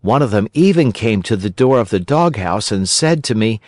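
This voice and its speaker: A man voicing a mischievous wooden marionette boy with a high, boyish, eager delivery in a storybook reading. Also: animated, normal speed, a little mellow, no room sound, studio quality, natural voice. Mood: indignant.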